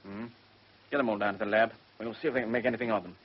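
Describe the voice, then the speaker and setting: A man playing a businesslike, dogged police inspector with a British accent in a radio drama, brisk and matter-of-fact. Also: lowish voice, steady tone, husky. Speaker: actor in a radio play. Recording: hissy, muffled radio drama recording.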